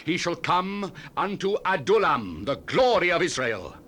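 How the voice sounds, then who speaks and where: A man's voice: a little high, sing-song, a little slow, sharp tone, heavily acted. A man playing an impassioned Old Testament prophet of doom in a solemn, declamatory, theatrical scripture reading on a 1970s cassette dramatization. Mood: grave, accusing. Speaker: dramatized Bible reader.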